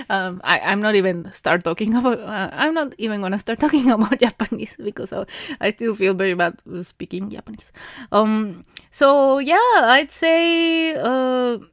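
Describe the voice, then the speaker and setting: A woman's voice: a little high, no echo, clean studio sound. A woman in her thirties with a Mexican Spanish accent, a native Spanish speaker, talking casually on her podcast, hesitant with many fillers and restarts and frequent light laughs. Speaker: podcast host. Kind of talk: casual monologue.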